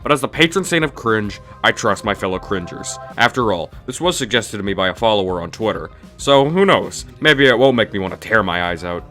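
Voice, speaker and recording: A man's voice: lowish voice, expressive, a little fast, hardly any pauses, fairly bright tone, faint music behind. A man narrating a scripted comedic review in a snarky, dismissive, mock-exasperated way. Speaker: video reviewer, host of a review series.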